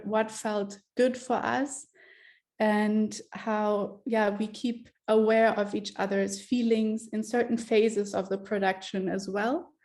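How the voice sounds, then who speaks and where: A woman's voice: a little high, a little mellow. A woman filmmaker who was born in Germany and grew up in Ethiopia, speaking reflectively in an online panel over a video call.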